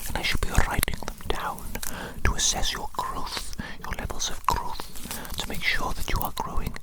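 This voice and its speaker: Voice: lightly acted, rough recording. Casting: ASMR performer as eccentric evil doctor and doting creator, fully whispered, repetitive, soothing, quaintly formal, science-obsessed. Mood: obsessive, eager. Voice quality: breathy unvoiced whisper. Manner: repeats phrases slowly, formal old-fashioned wording, soothing.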